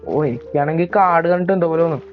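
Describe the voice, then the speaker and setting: A young man with a Malayali accent chats through his horror gameplay, joking about how easily he gets scared. His fairly high voice comes through a thin, phone-like mic. Faint game music plays behind him. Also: racing. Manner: chatty and self-mocking, admits he scares easily. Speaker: gaming YouTuber. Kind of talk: casual let's-play commentary. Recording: tinny, low-quality mic.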